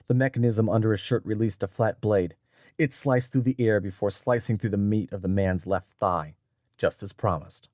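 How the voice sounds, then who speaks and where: Male narrator, lowish register, dry sound, deliberate dramatic audiobook storytelling. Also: darkish tone, no echo.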